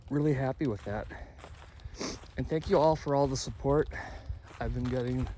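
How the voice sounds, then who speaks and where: A man records a casual hobby vlog in an average-pitched voice. He rambles candidly, pausing and rewording as he goes. Clear ambient noise sits behind his speech. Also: dark tone. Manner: halting, self-correcting, candid. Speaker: amateur radio hobby vlogger.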